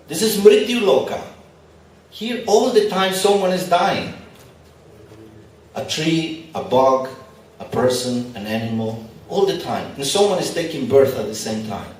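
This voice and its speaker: A man's voice: mid-range voice, a little mellow, a little hiss or hum. A man whose first language is not English, giving a conversational spiritual lecture with light humor to a live audience at an expo. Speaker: spiritual teacher. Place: large echoey venue at an expo. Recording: very echoey hall, speaker far from mic.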